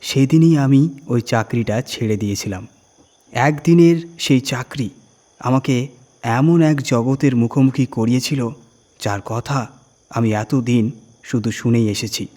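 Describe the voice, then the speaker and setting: A man reading a first-person horror story dramatically, in suspenseful short phrases with pauses. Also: medium pitch, mellow.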